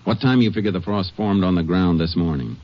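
An American man acting as a steady, shrewd Texas Ranger in a 1950s radio western, terse, measured and authoritative. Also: sits low, close to an everyday voice. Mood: purposeful. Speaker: lead actor and narrator. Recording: muffled, noisy old radio broadcast recording.

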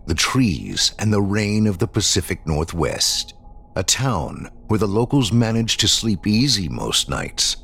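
A man's voice: sits low, fairly bright tone, slightly rough. A man hosting a horror podcast, reading a scripted introduction with theatrical, dramatic phrasing. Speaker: podcast network host.

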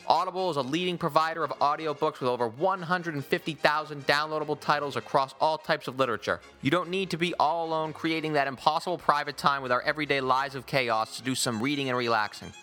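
An American man fronts a sports podcast in a forceful, bright voice. His phrasing is wordy and rhetorical, built for commentary and promo reads. Light music sits behind him. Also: on the high side, fast, few pauses.

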